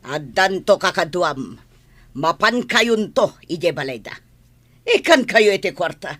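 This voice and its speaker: A woman's voice: lowish voice, very animated, unhurried, a bit bright, exaggerated voice. A woman with an Ilocano accent acting a scheming, bossy rich madam in an audio drama. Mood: scheming, commanding. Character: scheming, bossy moneyed madam giving orders to henchmen.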